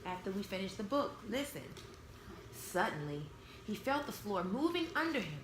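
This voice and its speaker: A woman reading a storybook aloud to young children, expressive, pausing to explain and ask simple questions. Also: mid-range voice. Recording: echoey room with background noise.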